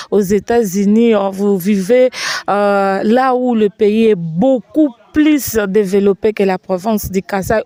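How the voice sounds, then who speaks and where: A woman's voice: mid-range voice, dark tone, no echo. A woman hosting a TV interview in a studio, asking formal, wordy questions with many fillers. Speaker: TV host. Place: TV studio set.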